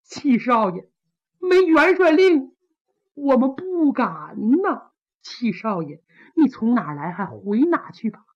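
A woman voicing timid, sympathetic male foot soldiers who speak low and hesitantly. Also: big pitch swings, unhurried, lo-fi sound. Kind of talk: traditional Chinese storytelling.

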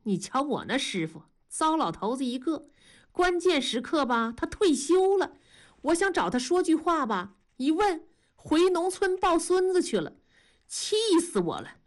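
A woman's voice: fairly high pitch, big pitch swings, moderate pace. A woman voicing an aggrieved male factory worker who gripes sarcastically in colloquial speech, in an audiobook. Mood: sarcastic grumbling.

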